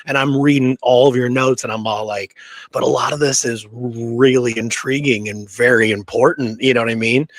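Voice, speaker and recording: A man's voice: mid-range voice, fairly even intonation, normal speed, dry recording. A man co-hosting a podcast in casual conversation, talking in an enthusiastic, rambling way. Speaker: podcast co-host. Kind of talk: casual chat.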